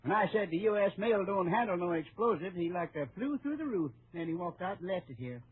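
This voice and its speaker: Folksy american old west postmaster, real husky, chatty, thin vintage radio sound. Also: male voice, high-pitched, animated, a little fast, exaggerated voice. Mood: folksy, unworried. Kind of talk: old-time Western radio acting. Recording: tinny vintage radio recording.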